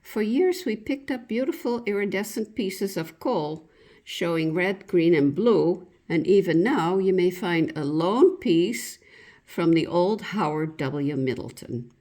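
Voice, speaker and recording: A woman with a slightly low voice reads a reminiscence aloud as narration. She takes it very slowly and deliberately, with lots of rise and fall in her pitch. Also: animated, warm tone. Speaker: reader of a written local history account.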